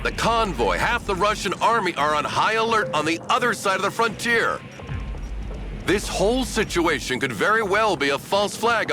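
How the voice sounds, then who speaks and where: A cautious adviser, somewhat high-pitched. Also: male voice. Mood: alarmed. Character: cautious, worldly adviser briefing a military general. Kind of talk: dramatic video game voice acting. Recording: loud music behind the voice.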